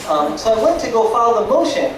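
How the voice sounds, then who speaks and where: A man's voice: extremely high pitch, big pitch swings, fast. A young man telling stand-up stories at an open mic in a hesitant, self-deprecating way. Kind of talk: stand-up storytelling to an audience. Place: nightclub stage at an open mic. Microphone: picked up from a distance in the room. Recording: echoey nightclub, far mic, noisy crowd.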